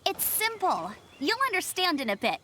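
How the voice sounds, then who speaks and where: A woman's voice: very high-pitched, wildly swooping pitch, normal speed, sharp tone, slight background noise, full character voice. A woman playing an eager, upbeat young female knight and outrider in a video game, earnest and helpful in manner. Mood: friendly, breezy. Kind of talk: English video game voice acting. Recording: game music behind the voice.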